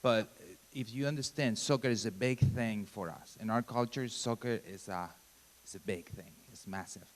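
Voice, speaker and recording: A man in his thirties from Argentina, a native Spanish speaker with an Argentine accent, giving an informal mission talk at church in halting, simple English. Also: fairly low pitch. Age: 30s. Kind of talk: church presentation. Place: church sanctuary. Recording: long hall reverb.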